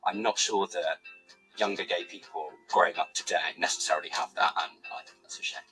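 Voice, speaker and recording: Deep-voiced man in an interview. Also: steady tone, fairly quick, sharp tone, faint music behind, rough recording. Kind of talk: interview testimonial.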